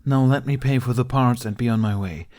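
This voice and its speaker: A man voicing a calm, polite warrior-monk negotiator in an audiobook, with a measured, insistent manner. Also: medium pitch, pitched above the performer's own voice, close to an everyday voice. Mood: polite, controlled. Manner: measured, formal, impassive.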